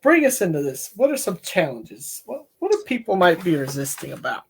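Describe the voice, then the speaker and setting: A man talking as a counselor in a casual, candid discussion, with a relaxed, folksy manner. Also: sits high, brisk, creaky, a little hiss or hum. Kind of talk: casual podcast-style discussion.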